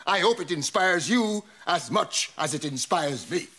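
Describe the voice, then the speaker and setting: An actor with a Guyanese accent playing a pompous, boastful older barber in a sitcom. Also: male voice, a little high, animated, measured pace, bright, forceful tone. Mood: grandiose. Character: boastful older male barber, self-important. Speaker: barber shop owner. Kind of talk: sitcom acting.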